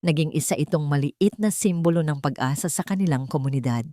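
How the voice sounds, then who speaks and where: A woman narrating a scripted dramatic story in a sentimental, measured storytelling manner. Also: deep voice, mellow, no room sound, studio quality.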